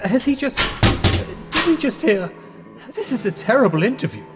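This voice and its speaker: An actor playing a bumbling, polite young English gentleman in an audio drama, hesitant and bewildered. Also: very high-pitched, sing-song, moderate pace. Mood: exasperated. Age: young adult. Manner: hesitant, genteel, flustered. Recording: long hall reverb, music behind.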